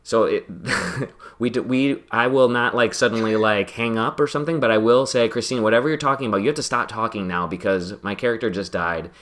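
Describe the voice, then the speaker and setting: Low-voiced man rambling while he games. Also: fairly quick, a bit bright. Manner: rambling, self-deprecating, laughs often. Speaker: podcast host playing a game. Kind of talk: casual chat while gaming.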